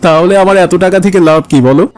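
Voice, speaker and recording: A man's voice: high voice, steady tone, quick pace, a little mellow, clear, resonant tone, soft background music, close to an everyday voice. A man voicing a boastful, arrogant rich merchant father in a pompous, condescending way for a moral cartoon. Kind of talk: dubbed children's moral cartoon.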